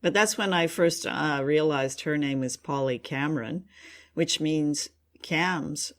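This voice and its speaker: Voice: mid-range voice, takes it slowly, a bit bright. A co-host chats casually on a review show. The delivery is conversational and openly appreciative, with effusive phrasing throughout. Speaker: co-host of an old-time radio review show.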